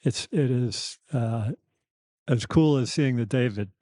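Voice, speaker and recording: Mature male podcast host, mellow soft voice, slightly weak and lisping, halting candid delivery, dry close sound. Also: medium pitch, no room sound. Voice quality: slight lisp, thick-tongued articulation. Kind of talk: reflective personal conversation.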